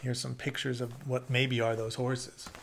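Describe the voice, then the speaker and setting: A man's voice: medium pitch, flat delivery, measured pace, creaky, a bit bright, a little hiss or hum. A man in his thirties reading a story aloud in a home office, with informal asides that explain things in plain terms. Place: carpeted home office, indoors.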